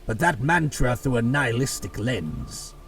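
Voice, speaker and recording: A man as a gravelly, wry robot scout. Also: medium pitch, big pitch swings, a little slow, fairly bright tone, heavily acted. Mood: wry, pondering. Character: wry, analytical robot scout weighing options. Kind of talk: animated-series character acting. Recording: distant mic, music underneath.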